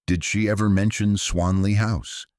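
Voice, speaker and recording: A man voicing a discreet, probing woman visitor in a mystery story, soft-spoken and careful. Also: fairly low pitch, little pitch movement, unhurried, dry recording, studio quality, pitched above the performer's own voice, lightly acted. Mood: quietly probing.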